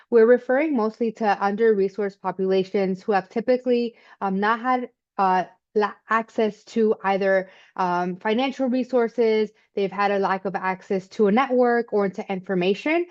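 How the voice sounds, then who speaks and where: A woman's voice: mid-range voice, normal speed, hardly any pauses. Woman hosting a webinar over slightly dull audio. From Miami. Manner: relaxed, conversational, with fillers. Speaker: webinar host. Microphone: video call.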